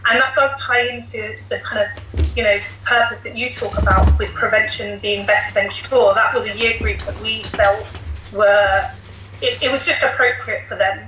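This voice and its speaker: A woman's voice: medium pitch, fairly even intonation, moderate pace, pushed and bright. A woman teacher explaining things conversationally as a guest on a podcast. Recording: echoey room, faint background noise.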